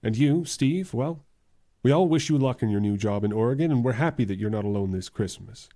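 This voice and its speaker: A man plays an earnest, well-mannered lawyer in a radio drama. His middle-pitched voice is soft-edged and mellow, with formal, careful phrasing. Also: lively intonation, natural voice. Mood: heartfelt. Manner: formal, measured, courteous. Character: polite, formal male lawyer and earnest fiancé. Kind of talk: old-time radio drama acting.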